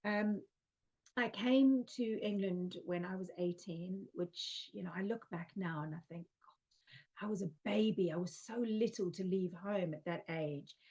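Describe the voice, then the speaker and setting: A woman's voice: medium pitch, measured pace, husky. Heartfelt woman in her forties, slightly muffled. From South Africa. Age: 40s. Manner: soft, heartfelt, many fillers and restarts. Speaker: guest. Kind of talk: casual spiritual conversation.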